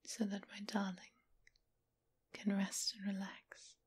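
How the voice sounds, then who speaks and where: Slow, whispering vampire mistress, acted by a woman. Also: medium pitch, monotone. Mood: gently caring. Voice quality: breathy whisper. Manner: slow, teasing, affectionate purr. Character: teasing, doting, seductive female vampire mistress. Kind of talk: whispered ASMR roleplay.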